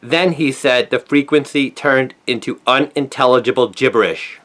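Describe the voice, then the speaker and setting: A man's voice: medium pitch, normal speed, fairly bright tone. A man narrates a news story, reading from a written script in a scripted style. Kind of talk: scripted narration, read aloud.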